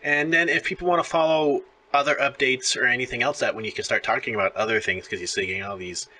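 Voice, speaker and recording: A man's voice: mid-range voice, steady tone, quick pace, bright, forceful tone, low-quality recording. A man hosting a casual interview, talking like an enthusiastic fan. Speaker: interview show host. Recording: music playing behind the voice.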